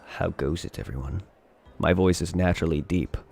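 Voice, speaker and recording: Young male streamer with a gravelly voice, loose rambling chat to viewers. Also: sits low, moderate pace, a little mellow, faint music behind. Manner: rambling and self-deprecating, thanks viewers. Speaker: game streamer. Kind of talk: casual live stream chat. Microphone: desk condenser microphone.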